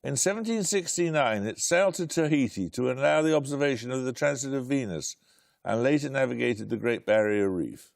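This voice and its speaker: A man hosting a quiz show, reading out questions in a brisk, precise way with dry, wry humour. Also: mid-range voice, animated, gravelly, dry recording.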